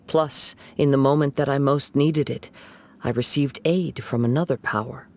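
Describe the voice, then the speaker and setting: An actor voicing a calm, measured young male wandering samurai who speaks in a reflective way. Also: mid-range voice, a little hiss or hum.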